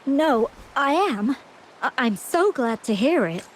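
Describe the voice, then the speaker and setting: An actress playing a gentle, ailing young woman in a video game, soft-spoken and hesitant in her delivery. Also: sits high, animated, slow, slight background noise, low-quality recording. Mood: stunned. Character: frail, gentle ill young woman, former landscape painter. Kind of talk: dramatic video game voice acting.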